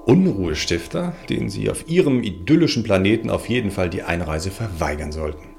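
A man playing a confident, sardonic sect leader in a science-fiction audio drama, with a creaky, gravelly voice. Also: on the low side, animated, fairly quick, darkish tone, soft background music. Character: confident, smooth-talking male sect leader and space settler.

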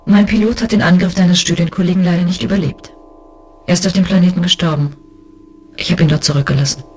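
A woman as a low-voiced, bitter inspector. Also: fairly even intonation, fast, darkish tone, faint music behind, close to an everyday voice. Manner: crisp, sardonic, reproachful. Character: bitter, lonely female space police inspector. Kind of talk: science fiction audio drama acting and narration.

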